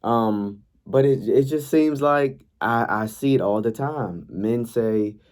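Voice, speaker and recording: A young man vlogging in a laid-back, chatty way, sounding a bit congested and raspy from a night of yelling. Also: mid-range voice, slow pace. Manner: laid-back, chatty, frequent ums and likes. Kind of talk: casual vlog talk, reading posts aloud.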